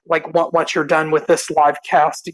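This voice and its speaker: A man co-hosting a podcast, politely reading out show plugs in an informal, halting way. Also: a little high, level tone. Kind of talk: informal announcement of show schedules.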